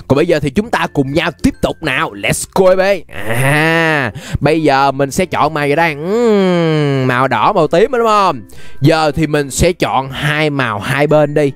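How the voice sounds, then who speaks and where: A young man with a southern Vietnamese accent giving excited, exclamatory gameplay commentary, often repeating phrases as he reacts. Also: on the high side. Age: young adult. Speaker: gaming YouTuber, host. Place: indoors at a gaming setup. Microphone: desk microphone close to the face.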